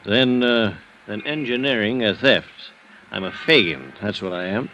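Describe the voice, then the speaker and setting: An American man acting a self-loathing, boozy architect in an old-time radio drama, confessing in a rambling, halting, self-mocking way. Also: on the low side, animated, bright, forceful tone. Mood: rueful, self-mocking. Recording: old radio recording, faint noise.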